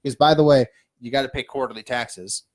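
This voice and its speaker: A man with a Midwestern American accent co-hosting a business podcast, talking in an animated, emphatic way and listing points off one by one. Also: mid-range voice, fast talker. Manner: animated, emphatic, lists things off. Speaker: podcast co-host. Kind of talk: casual business chat. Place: studio.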